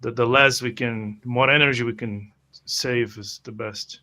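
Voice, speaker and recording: A man playing a calm, pragmatic adventurer who speaks in a halting, subdued, conciliatory way. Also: mid-range voice.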